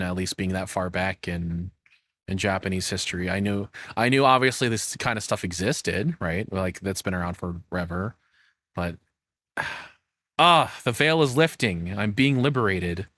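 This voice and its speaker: A man doing casual live reaction commentary as a streamer, with musing, halting asides, close to the microphone. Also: deep voice, a bit bright, no room sound.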